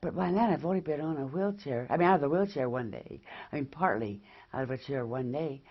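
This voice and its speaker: A woman with a low, husky voice chats casually as a patient giving an update. Her pitch moves up and down in a lively way. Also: brisk, muffled recording. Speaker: patient reporting recovery.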